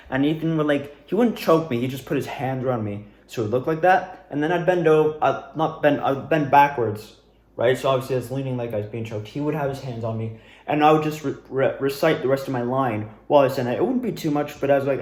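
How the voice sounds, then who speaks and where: A young adult man with a mid-pitched voice talks in a casual, rambling way, as if recounting things to viewers. His delivery is animated and informal, and the sound is roomy and echoey. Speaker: amateur student actor reviewing own performances. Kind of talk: casual rambling recap, self-interview.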